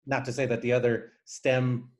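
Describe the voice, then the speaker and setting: A man's voice: medium pitch, little pitch movement, moderate pace, slight background noise. A man from Nicaragua whose first language is Spanish, giving earnest, explanatory answers as a guest engineer in a conversational interview.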